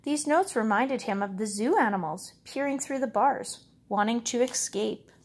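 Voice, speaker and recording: A woman reading a picture book aloud as a storyteller, with expressive, measured, dramatic phrasing. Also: fairly high pitch. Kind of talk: expressive read-aloud narration.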